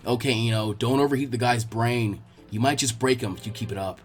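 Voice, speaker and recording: A man voicing a lazy, unmotivated teenage boy ninja with a bland, deadpan manner, in a story reading. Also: medium pitch, a little fast, soft background music, voice pushed down, natural voice. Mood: dry.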